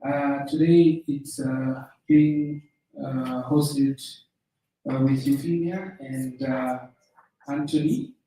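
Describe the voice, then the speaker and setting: A man with a Zambian accent moderating a session, introducing it informally and haltingly. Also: mid-range voice, fairly even intonation, warm tone. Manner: hesitant, halting. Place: conference room. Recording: very echoey room, distant mic.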